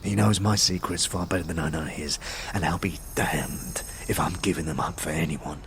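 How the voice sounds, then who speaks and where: An actor playing a talking skull, a dead anarchist who is bitter, conspiratorial and speaks in streetwise slang, in a fantasy audio drama. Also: male voice, very deep voice, normal speed, fairly bright tone, slight background noise. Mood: curt, defiant. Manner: streetwise slang, scornful, guarded.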